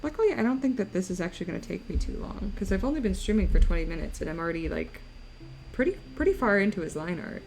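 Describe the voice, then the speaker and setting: A woman's voice: medium pitch, very animated, quick pace, vocal fry, darkish tone, rough recording. A young woman streaming while she draws, chatting and teasing her viewers, tired, with her voice breaking. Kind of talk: casual chat while drawing on stream. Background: faint music.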